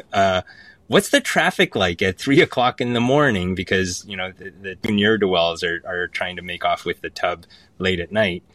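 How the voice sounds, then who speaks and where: A man's voice: low voice, a bit bright, no echo. A man talking as an interview guest, telling anecdotes conversationally with enthusiasm and repeating words for emphasis. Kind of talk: animated conversational storytelling.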